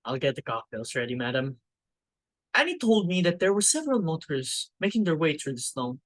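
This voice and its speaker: A woman's voice: low voice, big pitch swings, brisk, no echo. A woman acting a dutiful, deferential household servant in a dramatic play reading, formal and polite. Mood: dutiful reporting.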